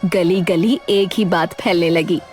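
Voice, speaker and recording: A woman delivering dramatic scripted narration for an animated story. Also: lowish voice, unhurried. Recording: loud background music.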